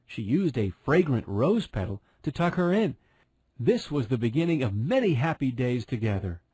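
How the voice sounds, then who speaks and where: A man's voice: mid-range voice, sing-song, normal speed, mellow, husky, no room sound. A man narrating a fairy tale as a scripted storyteller.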